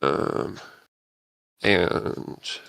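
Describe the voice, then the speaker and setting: A man speaking as a panel guest, casually recounting his writing in halting, thoughtful phrases. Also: a bit bright, no room sound. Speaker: panel guest, script writer. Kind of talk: casual reflective storytelling.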